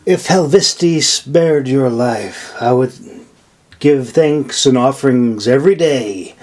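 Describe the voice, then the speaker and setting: A man's voice: mid-range voice, slow pace, a little mellow. A man voicing a stubborn, stoic male cleric in a fantasy audiobook, confident and unapologetic.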